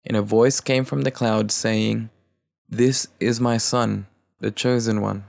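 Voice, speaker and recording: A man's voice: on the low side, fairly even intonation, slow pace, vocal fry, darkish tone. A man reading a gospel passage aloud as a volunteer reader.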